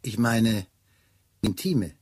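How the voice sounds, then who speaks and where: An actor in a German radio play playing a shrewd Scotland Yard chief inspector, dry, ironic and probing. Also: male voice, on the low side, drawn-out words, a little mellow, husky, exaggerated voice. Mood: dryly probing.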